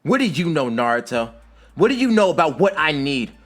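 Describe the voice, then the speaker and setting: A man's voice: low voice, unhurried. A man voicing a hot-headed, bitter young ninja rival in an anime-style story, intense and emphatic. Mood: furious.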